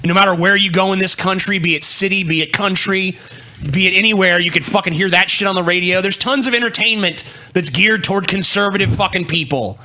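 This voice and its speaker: High-voiced man, sharp and forceful, ranting. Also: a little fast. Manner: blunt, argumentative, sarcastic, swears heavily. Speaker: podcast co-host. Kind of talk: heated political commentary, casual and profane.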